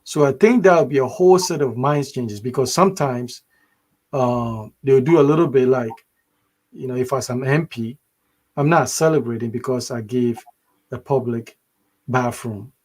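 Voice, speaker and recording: A man's voice: medium pitch, moderate pace, darkish tone. Man, from Ghana, podcast co-host, emphatic, motivational, casual discussion. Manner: emphatic, motivational, many restarts.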